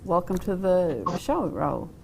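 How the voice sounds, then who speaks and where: A woman's voice: lowish voice, very animated, slow pace, gravelly, a crowd in the background. A woman hosting an interview, asking questions in an easygoing, conversational way. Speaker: host.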